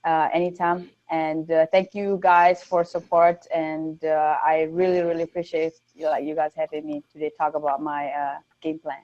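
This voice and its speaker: A woman's voice: low voice, little pitch movement, moderate pace, hardly any pauses. A woman guest on a podcast, a non-native English speaker with a slight Newfoundland accent, talking casually with many fillers. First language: not English. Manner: soft-spoken, many fillers. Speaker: guest powerlifter. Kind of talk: casual interview chat. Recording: dull lo-fi sound.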